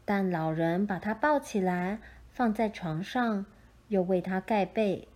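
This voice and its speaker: A woman narrating a children's story from a script in a gentle, expressive storytelling manner. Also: mid-range voice, a little slow.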